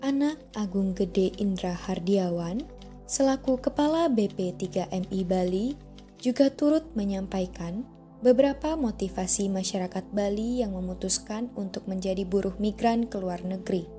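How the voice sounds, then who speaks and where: Female narrator, for scripted news documentary; mellow tone, formal measured read, light music bed. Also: mid-range voice.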